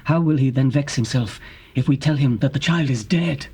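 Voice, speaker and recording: A man playing an anxious royal servant in a scripture drama, with a husky voice and theatrical, worried delivery. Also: medium pitch, moderate pace, warm tone. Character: anxious male royal servant, puzzled by his king.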